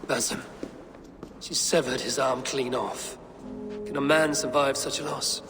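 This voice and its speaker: An actor playing a loyal, resolute Viking clan leader in a video game, speaking in an earnest, commanding manner. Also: male voice, mid-range voice, fairly even intonation, measured pace, over music, natural voice. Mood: grave, determined. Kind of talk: dramatic video game acting. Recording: long reverb, very loud background noise.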